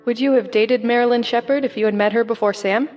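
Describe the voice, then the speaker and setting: Man voicing a high-pitched, probing interviewer. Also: steady tone, fast talker, muffled recording, soft background music. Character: curious questioner interviewing a prisoner about the past. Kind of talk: true-crime dramatization.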